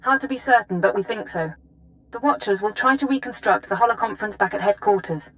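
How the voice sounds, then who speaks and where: An actress playing a brisk, authoritative female intelligence chief briefing an agent in a video game. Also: mid-range voice, a bit bright, close to an everyday voice, poor audio. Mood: urgent. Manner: crisp, authoritative.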